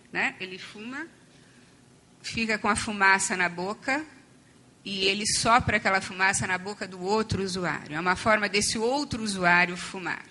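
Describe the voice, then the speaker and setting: A woman with a hoarse voice, close to losing it, gives a conference talk on treatment, explaining step by step with frequent fillers and restarts. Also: mid-range voice, pushed and bright. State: losing her voice. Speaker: conference panel speaker on addiction treatment. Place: conference hall.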